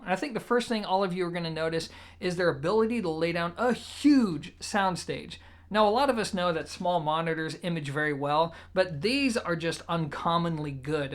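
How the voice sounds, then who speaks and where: A reviewer speaks in a mid-pitched voice. The delivery is conversational and explanatory, working through points in a methodical order. Informal asides to the audience keep the presentation casual. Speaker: product reviewer, show host. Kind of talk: conversational audio gear review to camera.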